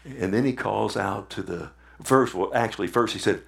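A man preaching a sermon, animated, often asking the congregation "Amen?" and retelling scripture in his own words. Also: fairly low pitch, moderate pace, gravelly. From Texas.

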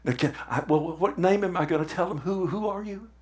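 A man preaching a sermon, animated, often asking the congregation "Amen?" and retelling scripture in his own words. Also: sits high, a little fast. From Texas.

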